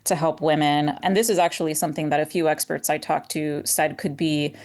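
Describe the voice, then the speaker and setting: A woman with a distinctly low voice speaks as a reporter being interviewed. She explains things in a measured, careful way. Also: steady tone, a little mellow. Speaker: newspaper reporter.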